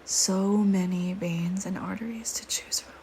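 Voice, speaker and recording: A woman voicing a flirty, teasing, predatory female vampire in an intimate audio roleplay, with playful banter and breathy murmurs. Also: mid-range voice, fairly even intonation, unhurried, darkish tone, a little hiss or hum, lightly acted, rough recording. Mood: seductive, hungry.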